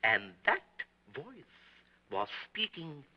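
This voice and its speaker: An actor playing an earnest middle-aged German restaurant owner giving slow, halting testimony in a German accent, in a 1930s film. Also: male voice, on the high side, sing-song, heavily acted. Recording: dull early-1930s film soundtrack.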